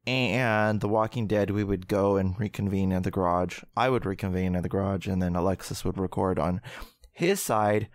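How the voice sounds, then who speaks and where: A man with a bassy voice hosting a podcast, telling stories in a loose, jokey way with some swearing. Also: no echo. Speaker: podcast host. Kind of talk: casual chat.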